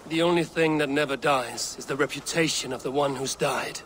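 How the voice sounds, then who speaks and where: A man's voice: medium pitch, fairly quick, a bit bright, a little hiss or hum, natural voice. A man playing a stern, defiant Viking warrior in a video game, with a grave and resolute delivery. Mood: urging. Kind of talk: dramatic video game acting.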